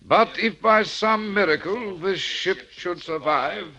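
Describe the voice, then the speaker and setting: An actor playing a composed, formal British navy captain in an old radio drama, speaking deliberately. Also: male voice, high-pitched, sharp tone, exaggerated voice. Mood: grave reading. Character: British male destroyer captain, brisk, composed, authoritative. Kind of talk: 1940s radio acting. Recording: dull old radio recording.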